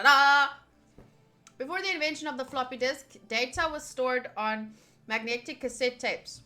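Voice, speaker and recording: A woman's voice: a little high, pushed and bright. A young woman, reading a visual novel aloud and commenting casually and playfully as she streams. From United States. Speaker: game streamer. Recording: voice over game music. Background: game music.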